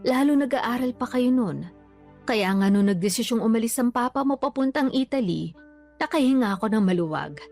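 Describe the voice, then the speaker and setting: A woman's voice: on the high side, animated, moderate pace, darkish tone. A woman playing a long-suffering, resigned mother in a Filipino radio drama, speaking with patient, plaintive delivery. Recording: faint music behind.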